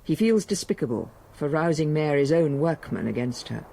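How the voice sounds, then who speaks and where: A man giving measured, scripted literary narration as storyteller of a period radio drama. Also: a little high, expressive, gravelly, dark tone, a little hiss or hum, rough recording. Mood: measured, evocative.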